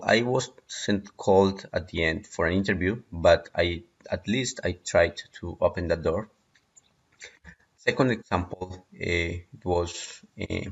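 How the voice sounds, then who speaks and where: A man in his thirties speaks English with a Colombian Spanish accent. His voice sits very low, and he talks in a measured way with frequent "eh" hesitations. The recording sounds dull and lo-fi. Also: vocal fry. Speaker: migrant sharing job search advice. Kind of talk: prepared personal talk.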